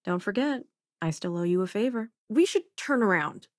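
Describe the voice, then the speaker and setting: A woman's voice: medium pitch, animated, normal speed, no room sound, studio quality, lightly acted. A woman playing a sassy, self-assured young scientist and smuggler companion in a space video game, with a wry, casual manner. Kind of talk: acted video game dialogue lines.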